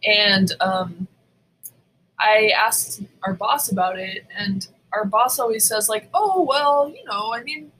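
A woman with a bright, forceful voice and mid-range pitch, chatting as a podcast co-host. She is blunt and emphatic and swears freely as she tells her stories, with some background noise around her. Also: slow, poor audio. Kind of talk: casual, profane storytelling chat.

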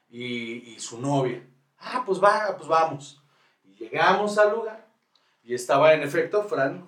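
A Mexican man hosting a casual comedic podcast, rambling and joking in slangy speech as he tells stories. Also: fairly high pitch. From northern coastal Mexico. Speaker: podcast host. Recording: roomy echo, distant mic, music behind.

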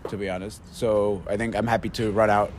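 A man giving measured, casual post-match analysis as an amateur football captain. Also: lowish voice, level tone. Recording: clear outdoor noise with traffic.

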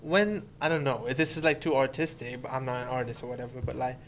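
Young man, halting and hedging speech. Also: medium pitch, steady tone, a little hiss or hum. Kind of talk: casual chat.

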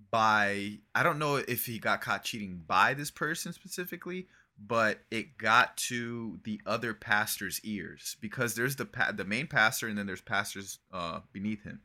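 Bright-voiced young man, loose rambling chat. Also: lowish voice. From Brazil. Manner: relaxed and rambling, swearing, lots of "bro" and "like". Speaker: podcast co-host. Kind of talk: casual chat.

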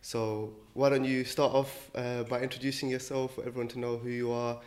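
A man hosting an interview podcast, a bit croaky from a cold, talking in a relaxed way with many ums. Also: medium pitch, a little fast. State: a bit croaky, under the weather. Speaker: podcast host. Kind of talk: casual interview chat.

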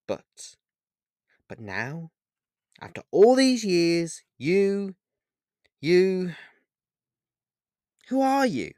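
A man voicing a distraught man in a fantasy story, pleading and accusing with emotional, halting speech. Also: fairly high pitch, expressive, no room sound, clean studio sound, voice pushed up. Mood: tearful, desperate. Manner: emotional, halting, repeating words. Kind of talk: dramatic fantasy storybook dialogue.

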